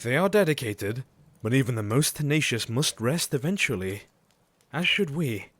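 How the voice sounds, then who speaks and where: An actor playing a dependable young gentleman who speaks reassuringly. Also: mid-range voice, very animated, moderate pace. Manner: reassuring, urgent in crisis.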